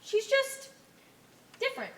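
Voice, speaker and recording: Echoey stage sound, woman acting very high bright deferential alien, careful theatrical explaining. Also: huge pitch swings, slow, exaggerated voice. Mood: respectful. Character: earnest, deferential female alien, member of a cell. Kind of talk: amateur one-act stage play.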